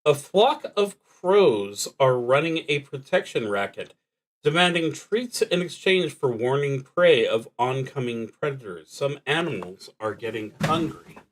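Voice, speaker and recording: A man streaming a solo tabletop roleplaying game, reading prompts and thinking aloud in a halting, hesitant way that trails off. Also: mid-range voice, expressive, low-quality recording.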